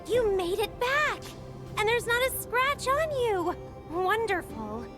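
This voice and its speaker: Eager, high-voiced young helper. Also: female voice, big pitch swings, takes it slowly, full character voice. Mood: delighted. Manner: earnest, enthusiastic. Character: eager, helpful young woman at a camp clinic. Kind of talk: video game voice acting. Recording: music playing behind the voice.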